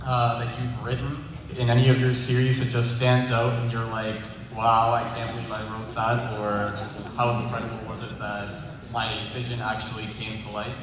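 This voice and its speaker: A man's voice: medium pitch, monotone, moderate pace, crowd noise. A man casually asking a question as a fan in the audience at a convention. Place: large convention hall. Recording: muffled, echoey hall, distant, faint noise.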